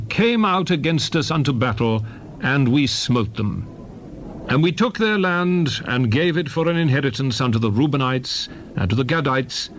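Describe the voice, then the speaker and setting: A man playing a stern prophet in a dramatized scripture reading, speaking in solemn, emphatic, measured oratory. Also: a little high, a little hiss or hum. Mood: solemn exhortation. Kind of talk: dramatized King James Bible reading, declamatory oratory.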